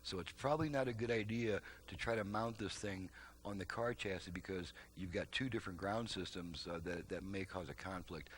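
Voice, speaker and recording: A man with a fairly low voice talks through a repair demonstration in a casual, unscripted way. He explains step by step, haltingly, often correcting himself. The recording has clear background noise with a hum. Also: fairly bright tone.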